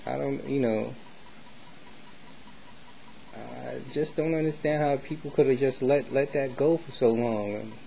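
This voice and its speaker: A man's voice: medium pitch, measured pace, gravelly, long pauses, warm tone. An American man in a casual, rambling vlog monologue, speaking colloquially with street slang and often repeating himself as he circles back. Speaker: vlogger sharing opinions. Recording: dull lo-fi sound with faint noise.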